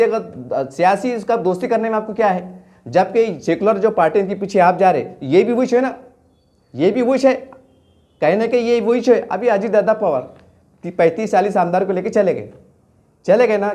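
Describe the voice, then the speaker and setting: A man's voice: high voice, mellow. A man with a Deccani Urdu-Hindi accent from Maharashtra gives impassioned, off-the-cuff political commentary, leaning on rhetorical questions and emphatic repetition. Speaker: local news journalist and commentator.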